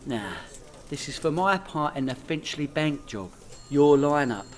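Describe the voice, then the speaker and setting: An actor with a London accent playing a cocky, hopeless petty crook in a radio comedy, cheeky and full of brag. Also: male voice, fairly high pitch, expressive, unhurried, a little hiss or hum. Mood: indignant. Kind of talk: British comedy radio play acting.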